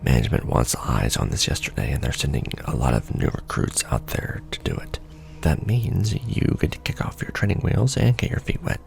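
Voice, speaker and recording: A man performing an ASMR roleplay as a seasoned, wry secret-society official, talking softly and casually with a gravelly, breathy undertone. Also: very deep voice, wildly swooping pitch, a little hiss or hum. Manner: wry, casual, unhurried explaining. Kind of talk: ASMR roleplay monologue, soft conversational briefing.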